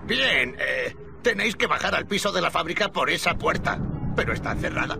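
An actor playing a jittery, reluctant civilian in a game, creaky and hesitant. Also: male voice, fairly high pitch, sing-song, a little fast, noisy background, heavily acted. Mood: nervous, reluctant. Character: nervous, reluctant civilian waiting for rescue.

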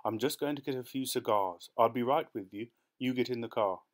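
A man playing a pompous, controlling, well-to-do husband, deliberate and commanding, in an audiobook. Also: medium pitch, close to an everyday voice. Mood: unhurried, formal. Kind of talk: audiobook character voice.

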